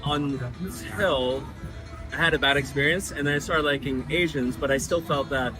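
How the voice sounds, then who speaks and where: Man, guest, casual chat, somewhat high voice, clear music underneath. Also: moderate pace, poor audio. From United States. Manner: relaxed, frequent fillers and laughs.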